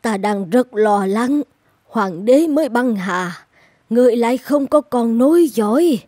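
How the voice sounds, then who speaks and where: Slow, solemn old empress dowager. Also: female voice, on the high side, lively intonation, dark tone, no room sound, studio quality. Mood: worried. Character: grave, anxious old empress dowager, regretful. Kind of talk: animated folk-tale cartoon acting.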